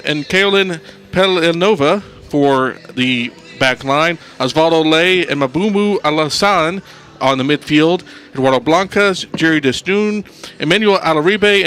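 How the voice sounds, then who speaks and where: A man's voice: on the high side, a bit bright, smooth. A man hosting a radio soccer pregame show, reading the lineups and putting questions to his analysts. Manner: reads lineups, then poses questions to the analysts. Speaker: pregame host.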